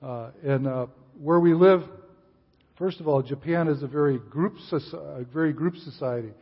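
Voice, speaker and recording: An older man speaks from a church pulpit, relaxed and conversational, full of "uh" and restarts. His voice sits a little high for a man. The sound is muffled and dull, with a long hall echo. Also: moderate pace, husky. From Chicago area, USA. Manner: relaxed, frequent uh fillers and restarts. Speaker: visiting missionary speaker. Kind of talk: sermon with casual asides. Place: church sanctuary.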